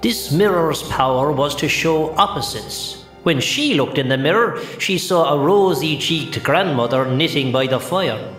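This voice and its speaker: Casting: hapless Irish sprite servant for children's audio drama, colloquial chatter, echoey, music beneath. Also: male voice, fairly high pitch, expressive, measured pace, fairly bright tone. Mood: chatty. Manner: chatty, colloquial, matter-of-fact. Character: chatty, cheeky male sprite, the Snow Queen's hapless servant. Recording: roomy echo, music underneath.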